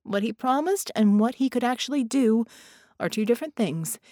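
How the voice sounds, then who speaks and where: A woman's voice: a little high, expressive, moderate pace, dark tone, no room sound, studio quality, pitched above the performer's own voice, close to an everyday voice. Woman acting a choked, weary, stoic woman. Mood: choked, resigned.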